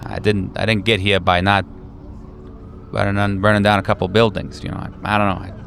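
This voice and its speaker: A man playing a weary, hardened veteran handler in a tabletop roleplay, talking in a halting, offhand way that trails off. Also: on the low side, little pitch movement, gravelly, fairly bright tone. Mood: jaded, hedging. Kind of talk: improvised tabletop roleplay dialogue.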